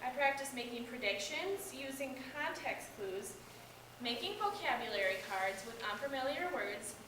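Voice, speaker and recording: A woman's voice: sits high, expressive, moderate pace. A young American woman playing a shy, embarrassed schoolboy in amateur reader's theater, reading scripted lines carefully. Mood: confiding. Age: young adult. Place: echoey indoor room. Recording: echoey room, distant microphone, background hiss.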